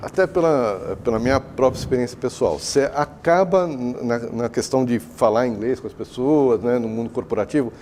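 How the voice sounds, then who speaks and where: A Brazilian man, an education consultant, gives animated, chatty answers in a TV studio interview and acts out talks with clients. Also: medium pitch, brisk, few pauses, slight background noise.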